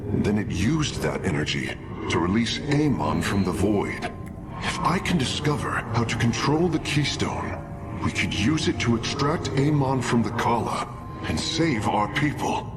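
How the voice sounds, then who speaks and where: An actor playing an earnest, scholarly alien engineer in a sci-fi game, explaining formally and hopefully. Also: male voice, lowish voice, big pitch swings, unhurried. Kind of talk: dramatic sci-fi game acting. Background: loud music.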